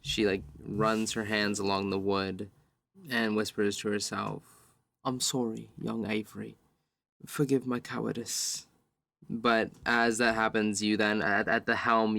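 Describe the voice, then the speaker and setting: A man playing a tabletop game, describing his character in measured, poetic, improvised phrases that he sometimes repeats. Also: on the low side, vocal fry, a bit bright, clean tone, dry recording. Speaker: tabletop roleplay player.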